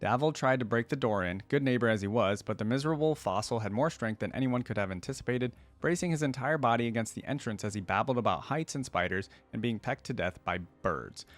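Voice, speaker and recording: A man's voice: mid-range voice, expressive, fast, few pauses. A man hosting a reaction video, talking casually and reading a story aloud.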